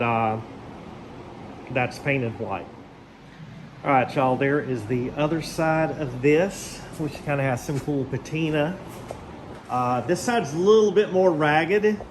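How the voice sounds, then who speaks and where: A man's voice: on the high side, a little hiss or hum. An American man chatting casually to viewers as he works on projects, folksy and informal, thinking aloud. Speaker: host of a garage project vlog. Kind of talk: casual chat to viewers while working. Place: garage and yard.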